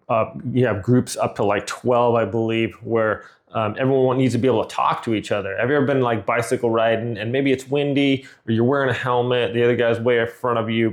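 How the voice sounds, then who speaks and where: A middle-aged man giving an informal, rambling product review in a conversational way. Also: medium pitch. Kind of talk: casual unboxing and review chat.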